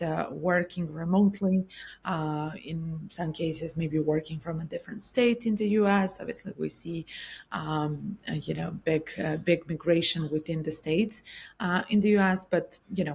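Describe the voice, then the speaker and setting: A woman's voice: lowish voice, creaky, breathy edge. A woman from Serbia, a guest expert answering interview questions in a careful, explanatory way with frequent hesitations. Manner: explanatory, many hesitation fillers.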